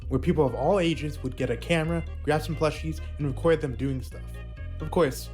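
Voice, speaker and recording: A man's voice: a little high, fairly quick, a little mellow. A young adult man narrating a scripted but casual video essay. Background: faint music.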